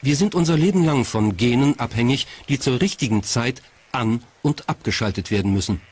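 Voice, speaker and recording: A man's voice: medium pitch, animated, brisk, a little mellow, breathy edge. A man reading scripted documentary narration as the narrator. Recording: music behind the voice. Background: background music.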